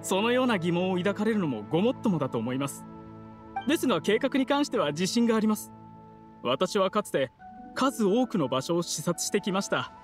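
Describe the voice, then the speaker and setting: High-voiced, courteous, earnest man. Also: sing-song, a little fast. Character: polite, earnest, eager male candidate in a fantasy game. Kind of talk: Japanese video game voice acting. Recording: music behind the voice.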